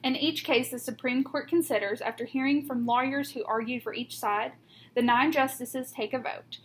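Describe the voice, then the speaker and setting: A woman reading a children's picture book aloud like a teacher, with deliberate emphasis on key words. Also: sits high, steady tone. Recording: noticeable background noise.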